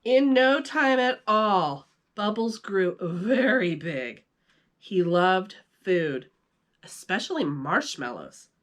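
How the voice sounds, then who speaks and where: A woman storyteller reading a children's storybook aloud in an animated style. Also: medium pitch, slow pace.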